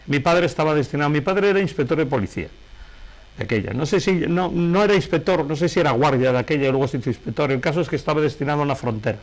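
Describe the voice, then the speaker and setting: Interview guest: middle-aged man, Spanish accent, average pitch, digressive storyteller who corrects himself. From Galicia, Spain. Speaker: interview guest, painter and novelist. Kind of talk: conversational interview, anecdotal storytelling.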